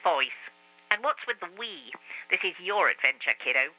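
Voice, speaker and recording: Streetwise talking bat, peevish and lazy, played by the reader; lower, drawling; lo-fi recording. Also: male voice, big pitch swings, normal speed. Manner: slangy tough-guy drawl, calls everyone kiddo. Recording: dull lo-fi radio recording.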